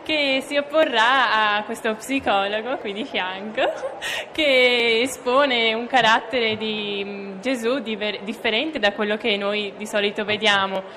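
A teenage girl describing her stage role to a radio interviewer, earnest in tone. Her voice is a little high. Faint ambient noise runs underneath. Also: unhurried. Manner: earnest, laughs shyly. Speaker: student actor. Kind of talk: interview answers.

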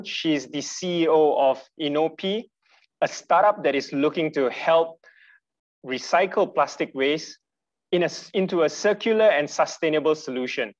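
A man in his thirties hosts an online webinar, introducing and questioning a guest politely. He stumbles and repeats words as he restarts. His voice sits a bit high and sounds dull and set back from the mic. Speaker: moderator, host. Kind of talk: webinar interview hosting. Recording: dull distant video call audio.

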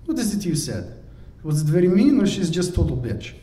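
A breathy, intense young woman painter, as a male narrator puts her on, speaking soft, halting, broken English. The voice sits higher than his own and quieter. The storybook recording is roomy and distant, with a faint hiss. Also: big pitch swings. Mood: curious.

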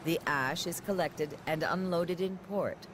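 A man doing measured, scripted narration for a documentary voice-over. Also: fairly high pitch, noisy background. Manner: measured, explanatory. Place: studio voice-over. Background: music.

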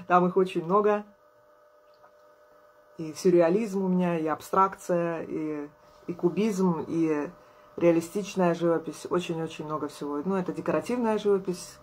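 A woman in her thirties, an artist vlogger, chatting casually to camera at a table indoors, tired and low on energy but friendly, laughing often. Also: a little mellow, slightly rough. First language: Russian. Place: indoors at a table, small room.

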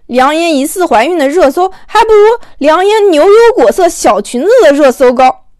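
A woman's voice: sits high, expressive, normal speed, darkish tone, no room sound, studio quality. A woman narrating an audiobook in a chatty, gossipy, playful storytelling style.

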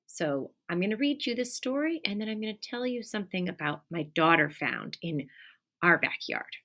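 A woman's voice: on the low side, expressive, normal speed, bright, forceful tone. A woman in her thirties, an elementary teacher, reads a picture book aloud expressively, stressing key words and prompting young listeners with questions. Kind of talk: animated children's picture-book read-aloud with asides. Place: small indoor room by a window.

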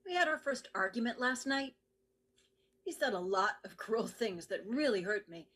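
A woman's voice: fairly high pitch, lively intonation, normal speed, fairly bright tone, husky. An actress playing a trusting, wounded woman who excuses her partner, in a dramatic poem recitation. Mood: hurt, excusing.

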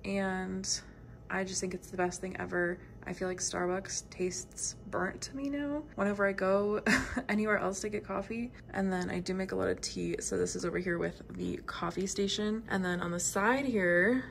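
A young woman with a raspy voice chatting casually about books and coffee at home, rambling with lots of "like". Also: medium pitch, normal speed, slight background noise. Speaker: book vlogger. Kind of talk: casual vlog chat. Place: home, bedroom and kitchen.

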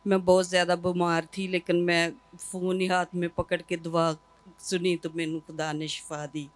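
Brief: middle-aged woman, Punjabi accent, lowish flat delivery, matter-of-fact. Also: moderate pace, no room sound. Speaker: church member giving testimony. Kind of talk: spoken testimony. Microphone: handheld mic held by host.

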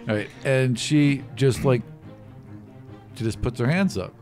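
A man's voice: mid-range voice, unhurried, a little mellow, faint music behind. A man running a tabletop game, narrating the action in a casual, conversational, improvised way. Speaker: game master. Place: small room, players around a table. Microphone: microphone on the table in front of him.

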